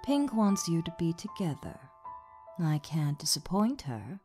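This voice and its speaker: A woman voicing a towering gem matriarch in a comic dub, slow and condescendingly sweet, with regal menace. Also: fairly low pitch, sing-song, warm tone, faint music behind. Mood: soft, indulgent.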